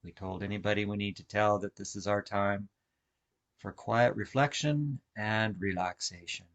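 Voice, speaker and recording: Low-voiced man guiding a meditation. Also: highs cut off. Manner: measured, gentle, with short phrases and long pauses. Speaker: meditation instructor. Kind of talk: guided meditation, slow spoken instruction. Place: online video call session.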